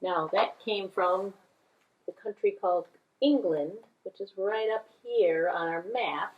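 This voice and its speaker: Slow, animated woman telling children a story. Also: medium pitch, dull audio. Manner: repetitive, sing-song emphasis with sound effects. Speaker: children's library storyteller and puppeteer.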